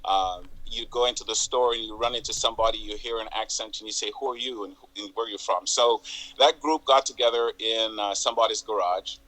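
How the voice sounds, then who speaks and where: An older man with a Jamaican accent, a community group president telling his story in a measured, halting way as a TV interview guest. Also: lowish voice, steady tone, sharp tone, rough recording. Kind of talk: interview on local TV.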